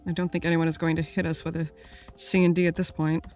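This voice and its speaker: Woman playing a quick, blunt sidekick. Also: deep voice, little pitch movement, a little mellow, faint music behind, lightly acted. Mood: impatient. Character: blunt, impatient sidekick keeping the talk on track.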